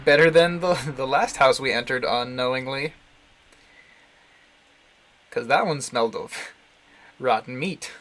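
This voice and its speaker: A man's voice: medium pitch, measured pace, pauses often, a bit bright. A man livestreaming casual commentary on a visual novel, thinking aloud in a rambling, jokey way. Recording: music behind the voice. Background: game music.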